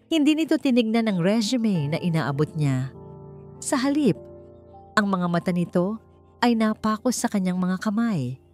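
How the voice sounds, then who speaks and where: A woman's voice: fairly low pitch, darkish tone. A woman narrating a scripted romantic drama as an audiobook storyteller, in a dramatic reading style. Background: music.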